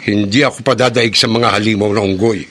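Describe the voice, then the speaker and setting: A man's voice: fairly low pitch, fairly even intonation, a little fast, a bit bright, slight background noise, lightly acted. An actor playing a stubborn older man in a Filipino radio horror drama, firm and declamatory in his delivery. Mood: defiant, firm.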